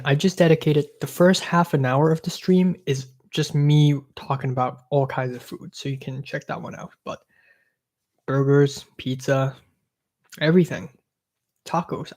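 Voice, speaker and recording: Mellow-voiced young man with an American accent. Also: on the high side. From Hong Kong. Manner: chatty, dryly self-mocking, with blunt opinions. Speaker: livestreamer answering viewer questions. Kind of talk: casual livestream Q&A.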